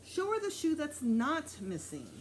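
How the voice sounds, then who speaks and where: A woman's voice: sits high, huge pitch swings, measured pace, slight background noise, pitched above the performer's own voice, full character voice. A woman voicing an exasperated father with a firm, impatient manner in a children's storybook reading.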